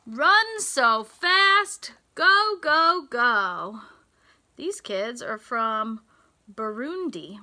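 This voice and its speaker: An American woman reading a picture book aloud to young children, in a deliberate, sing-song teacher's delivery with emphasized words. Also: a little high, big pitch swings, bright, forceful tone. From United States. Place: small indoor room with racks of hanging clothes.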